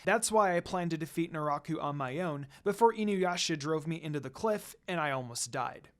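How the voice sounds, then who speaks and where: A storyteller voicing a gentle, earnest teenage girl in a fan fiction reading, soft but determined. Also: medium pitch, expressive, moderate pace, natural voice.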